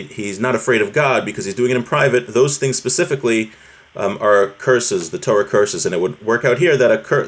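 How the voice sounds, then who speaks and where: Male religion teacher, mid-pitched voice, informal and explanatory, walking listeners through a text and checking in as he goes. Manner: explanatory, with rhetorical check-ins.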